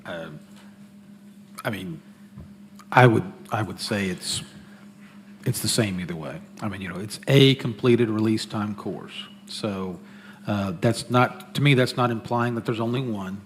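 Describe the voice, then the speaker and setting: A man's voice: on the low side, unhurried, long pauses, a little hiss or hum. A man answering a question at a public meeting, explaining his reading hesitantly with fillers.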